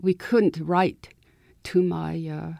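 A woman in her eighties from southern California, telling her story slowly and reflectively as a guest on a radio interview. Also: sits low, little pitch movement, gravelly, dark tone, dry recording, clean studio sound. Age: 80s. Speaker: author and guest.

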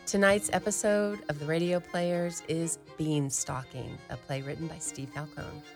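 A woman announcing a radio play in a measured, theatrical, scripted introduction. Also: sits low, fairly even intonation, over music.

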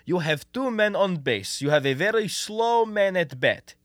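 Animated, high-pitched wry Russian comrade played by a man. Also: measured pace, dry recording. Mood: enthusiastic, lecturing. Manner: expansive, lecturing, explains things eagerly. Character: wry, talkative Russian comrade, passionate baseball fan. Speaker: radio play actor. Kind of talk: radio comedy acting.

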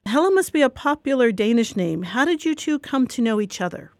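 A woman's voice: fairly high pitch, big pitch swings, fairly quick, darkish tone, no echo. Upbeat woman interviewer, dry sound. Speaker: interview host.

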